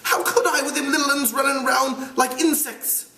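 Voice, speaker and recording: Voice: high voice, fairly even intonation, normal speed, bright, forceful tone, pitched above the performer's own voice. A reader voicing a fussy, indignant schoolboy with glasses who scolds and pleads, recorded in a roomy space away from the mic. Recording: roomy sound, distant mic.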